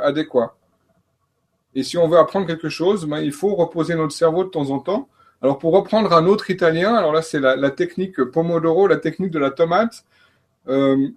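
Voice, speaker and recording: A man who works as a coach and trainer, explaining learning methods in a panel talk, with frequent fillers and restarts. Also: on the high side. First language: French. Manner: hesitant, many fillers and restarts.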